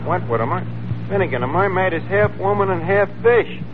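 Pretentious, lowbrow New York wise guy, very animated delivery, 1940s radio comedy, thin noisy recording. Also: a little fast, phone-quality sound. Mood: sardonic, breezy. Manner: sardonic, mock-refined, mangles grammar and fancy words.

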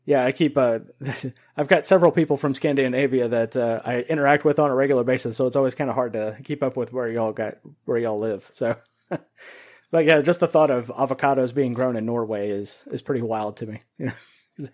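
A man's voice: medium pitch, brisk, a little mellow. A man hosting a casual interview podcast in a folksy, informal way. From Louisiana, United States.

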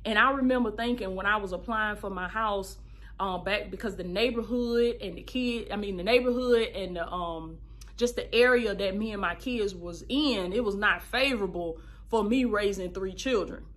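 A woman, a podcast host giving heartfelt life advice in a preachy, animated way, repeating phrases for emphasis and calling listeners baby. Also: medium pitch, moderate pace. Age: 30s. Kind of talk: casual advice monologue.

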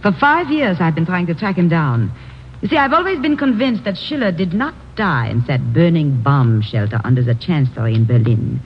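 An actress playing a determined, coolly polite foreign correspondent in an old-time radio drama, formal and insistent. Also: female voice, low-pitched, sing-song, normal speed. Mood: earnest, intense. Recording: dull, noisy old radio recording.

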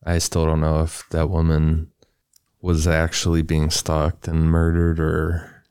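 A man co-hosting a podcast, reading a true crime story in a relaxed, loose way with casual asides. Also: rumbling low, takes it slowly, smooth, no echo. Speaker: podcast co-host.

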